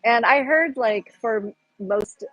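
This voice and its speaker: A woman's voice: a little high, slow pace, a bit bright, dry recording, low-quality recording. A woman from the Pacific Northwest with an American accent of that region, chatting on a livestream and rambling as she searches for words. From Pacific Northwest, United States. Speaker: livestream host. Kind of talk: casual livestream chat.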